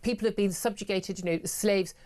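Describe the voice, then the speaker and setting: A woman's voice: mid-range voice, fairly even intonation, fairly quick, darkish tone. Emphatic woman hosting talk radio. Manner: emphatic, indignant, many restarts. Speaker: radio talk show host. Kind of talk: opinionated talk radio discussion.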